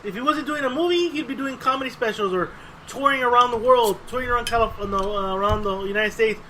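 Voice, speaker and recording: A man's voice: very high-pitched, fast, pushed and bright, slight background noise, poor audio. A man co-hosting a podcast in casual chat, often repeating his own phrases. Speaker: podcast host.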